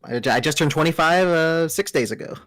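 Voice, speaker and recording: A man in his twenties chatting casually, quick and jokey, often stumbling over his words. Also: a little high, fairly bright tone, no room sound, studio quality. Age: 20s. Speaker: stream guest. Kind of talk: casual chat.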